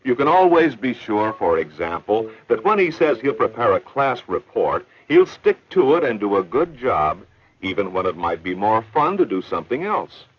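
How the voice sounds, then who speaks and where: A man's voice: fairly low pitch, big pitch swings, normal speed. A man narrating an educational film in an earnest, explanatory storytelling manner. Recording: dull old film soundtrack with faint hiss.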